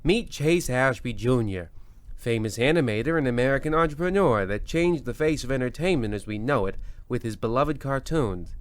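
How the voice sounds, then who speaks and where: A man's voice: mid-range voice, dry recording. A man hosting and narrating a comedy horror radio show in a theatrical, mock-spooky way, drawing out his lines dramatically. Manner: theatrical, drawn-out dramatic pauses. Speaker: narrator and host.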